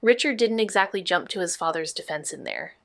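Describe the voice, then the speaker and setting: A woman acting a quick-witted, playful and determined amateur investigator in a naturalistic mystery audio drama. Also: fairly low pitch, creaky, no room sound, studio quality. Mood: focused, investigative. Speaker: actor in a neo-noir mystery podcast.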